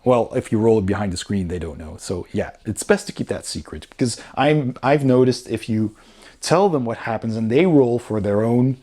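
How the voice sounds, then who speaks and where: A man with a mid-pitched voice talks through a rulebook as a reviewer. His delivery is casual and explanatory, with an informal, conversational way of putting things. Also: expressive, normal speed, mellow. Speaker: board game reviewer.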